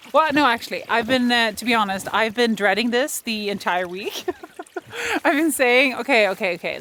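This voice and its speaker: A woman's voice: on the high side, slight background noise. Chatty Swedish woman vlogging outdoors. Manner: chatty, laughs often, animated. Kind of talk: casual vlog chat. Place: outdoors in arctic cold.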